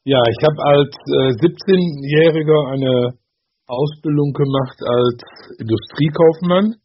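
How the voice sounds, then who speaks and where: A man's voice: fairly high pitch, takes it slowly, breathy edge. A man who works as a social worker, stuttering with many fillers and restarts as a radio guest. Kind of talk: radio interview. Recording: dull lo-fi radio sound.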